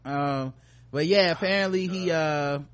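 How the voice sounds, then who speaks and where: A man's voice: a little high, a little slow, a little hiss or hum. A man hosting a podcast with casual comedic commentary, joking in slangy, profane language. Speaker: podcast host.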